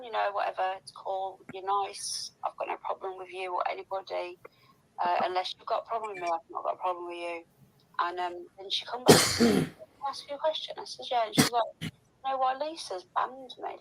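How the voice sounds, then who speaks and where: A woman's voice: mid-range voice, vocal fry, rough recording. A woman chatting casually and gossiping in a rambling, sweary, complaining way.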